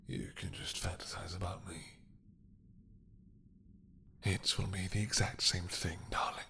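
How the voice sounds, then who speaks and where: A man playing a possessive, doting mad dragon king in a romantic roleplay drama, with a husky, breathy voice that often drops to a whisper and a regal, seductive manner. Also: low voice, very animated, sharp tone, a little hiss or hum, full character voice. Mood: tender, vengeful. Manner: slow, regal, seductive purring menace.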